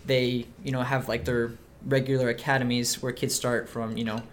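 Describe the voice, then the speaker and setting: A man's voice: medium pitch, normal speed. Young male podcast guest, easygoing chat, fillers everywhere, faint noise behind. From Mexico City. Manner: relaxed, many fillers like "um" and "like". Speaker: podcast guest, soccer player. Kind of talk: casual interview chat.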